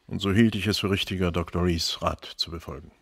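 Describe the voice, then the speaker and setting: Deep-voiced formal guardian. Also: male voice, fairly even intonation, fast talker, husky, no echo. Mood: formal, explaining. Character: courteous, responsible guardian of a young woman. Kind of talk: radio crime drama acting.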